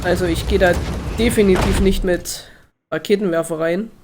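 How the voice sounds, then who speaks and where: A man's voice: high-pitched, steady tone, moderate pace, noisy background. A man with a slightly congested, nasal voice from a cold, giving casual, hesitant Let's Play commentary as he thinks aloud.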